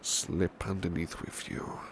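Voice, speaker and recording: A man playing a devoted, protective butler in an intimate ASMR roleplay, speaking in a hushed, gentle, courteous and hesitant British voice. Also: low-pitched, slow pace, creaky, exaggerated voice. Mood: deferential, hesitant. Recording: steady background noise, rain ambience. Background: rain ambience.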